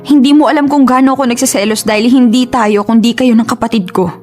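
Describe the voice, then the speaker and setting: Actress as high-voiced, exasperated young Filipina. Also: female voice, fast, darkish tone, close to an everyday voice. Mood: hurt confession. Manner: emotional, scolding, pleading. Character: conflicted young woman, protective of her sick sister, easily exasperated. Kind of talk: Filipino radio drama acting. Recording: music under the voice.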